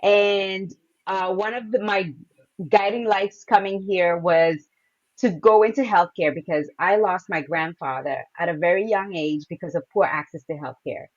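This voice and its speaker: A woman from Kenya, a podcast guest, talking about her journey in a soft, humble way with light laughs. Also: lowish voice, normal speed, lo-fi sound. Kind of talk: casual interview.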